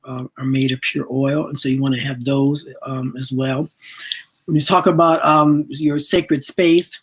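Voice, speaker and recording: A woman's voice: deep voice, darkish tone, husky. A woman in her late sixties giving a casual wellness workshop talk to her audience, chatty and repeating words as she searches for them. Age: 60s. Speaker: spiritual wellness teacher.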